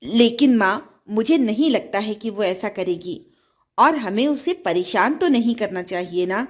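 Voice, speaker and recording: Woman as a polite, slow-spoken young boy. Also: mid-range voice, animated. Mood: troubled, hesitant. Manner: polite, earnest, pleading. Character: poor, kind-hearted, dutiful young boy. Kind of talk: children's fairy tale storytelling.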